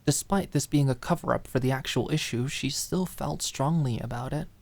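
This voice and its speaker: Low-pitched woman narrating a story. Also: moderate pace, gravelly, a little mellow. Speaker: audiobook narrator. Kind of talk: scripted dramatic narration.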